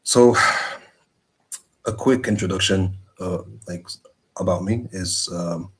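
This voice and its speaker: Male guest from Morocco, very low voice, casual personal storytelling, somewhat halting. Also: darkish tone, slight background noise, low-quality recording.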